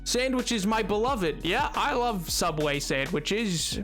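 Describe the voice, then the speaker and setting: A man streaming a game and chatting casually with his viewers, excitable and rambling, with many restarts and sudden yelps. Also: high-pitched, slow, soft background music. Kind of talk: casual live-stream chat.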